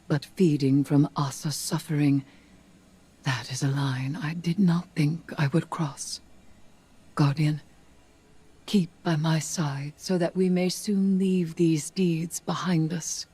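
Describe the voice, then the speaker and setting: Grave haunted witchy woman from a game, low dark breathy voice, solemn and archaic. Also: fairly even intonation, heavily acted. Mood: brooding, pained. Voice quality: husky, breathy, often near a whisper. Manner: solemn, archaic, deliberate phrasing. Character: grave, haunted woman mystic steeped in dark alien magic. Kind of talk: dramatic video game monologue.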